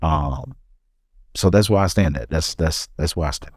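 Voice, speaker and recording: A middle-aged man with a deep voice, talking earnestly in a casual podcast conversation and often repeating words as he goes. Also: moderate pace, no echo, studio quality. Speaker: podcast co-host.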